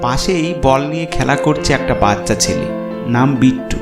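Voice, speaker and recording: A male storyteller reads a scripted horror cartoon tale. His pitch is average for a man. The voice sits in a roomy echo with music clearly playing behind it. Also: animated, normal speed. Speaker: storyteller narrator. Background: background music.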